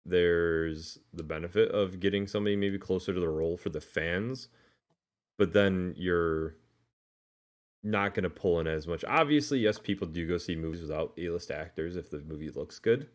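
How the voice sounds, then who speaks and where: A man's voice: low voice, normal speed. A man co-hosting a podcast, talking informally in a casual discussion and hedging his points as he goes. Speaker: podcast co-host.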